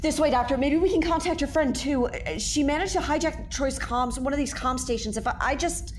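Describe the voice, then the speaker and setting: A woman's voice: sits high, a little fast, a little hiss or hum, lightly acted. A woman acting in an audio drama as a sardonic, anxious paranormal investigator. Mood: panicked.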